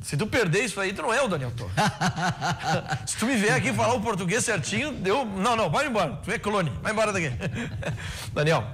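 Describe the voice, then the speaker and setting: A man's voice: sits high, very animated, brisk, fairly bright tone, slightly rough. A man with a gaúcho accent hosting a TV talk show, joking and playful with his guest. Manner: jokey, playful, laughs often. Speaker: TV host. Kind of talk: casual chat interview.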